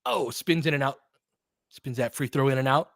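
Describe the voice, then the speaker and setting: A man's voice: mid-range voice, no room sound, clean studio sound. Man calling basketball play-by-play. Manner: excited bursts with quick laughs. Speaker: play-by-play commentator. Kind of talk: fan play-by-play commentary.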